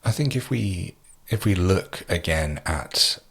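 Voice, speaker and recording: A man's voice: sits low, animated, takes it slowly, vocal fry, a bit bright, husky. A British man hosting a podcast interview, talking in a rambling, hesitant way with many ums. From Britain. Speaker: podcast host. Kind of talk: interview chat.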